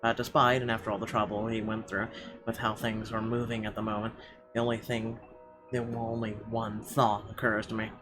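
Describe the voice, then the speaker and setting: A man reading visual novel narration aloud, expressively but haltingly, with small stumbles. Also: lowish voice, completely flat delivery. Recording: game music behind the voice.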